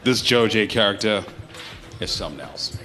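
A brash, boastful DJ acted by a man in a radio play. The voice sits fairly low and swings widely in pitch, full of playful swagger. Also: expressive, normal speed, bright, forceful tone, faint music behind. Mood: playful, put out. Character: brash, boastful young male mid-morning radio DJ. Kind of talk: live radio drama acting.

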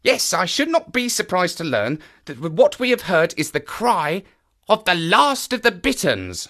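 An enthusiastic male naturalist guides a visitor across the moor, as a man acts him out in an audio drama. His voice leaps about wildly in pitch and carries a bright, forward edge. He explains with keen, knowing animation. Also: wildly swooping pitch, unhurried, full character voice. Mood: musing. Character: eager male naturalist of the moors, lively and knowing.

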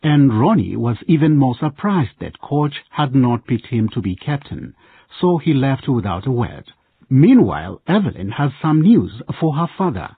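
Animated, husky male radio drama narrator. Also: medium pitch, normal speed, dry recording, phone-quality sound. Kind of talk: scripted narration.

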